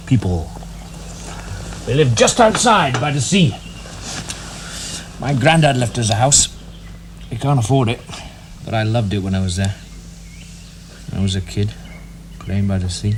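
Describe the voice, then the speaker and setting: An actor from northern England playing an earnest young English officer, speaking in a low-key, gentle way. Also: male voice, natural voice. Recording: hissy background noise.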